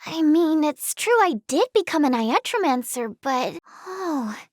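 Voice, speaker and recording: Super high girly anime-game healer girl, eager n earnest, bouncy pitch, dry close recording. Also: measured pace, dry recording. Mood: puzzled. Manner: earnest, expressive, girlish. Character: eager, kind-hearted young female healer in fantasy game. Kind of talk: video game character acting.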